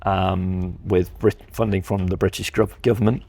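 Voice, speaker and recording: Male product specialist, low register, explains engineering step by step as he demonstrates. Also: little pitch movement, normal speed, vocal fry, mellow. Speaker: company representative presenting audio products.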